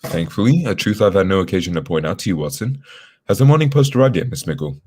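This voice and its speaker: Man acting sardonic detective, low voice, very quick, dry, condescending, cerebral, radio play. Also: animated, darkish tone. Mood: brisk, sardonic. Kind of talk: old-time radio drama acting.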